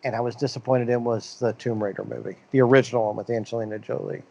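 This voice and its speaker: A man co-hosting a casual podcast chat, quick and chatty, listing things off. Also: mid-range voice, flat delivery, gravelly, darkish tone. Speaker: podcast co-host. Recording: dull lo-fi mic.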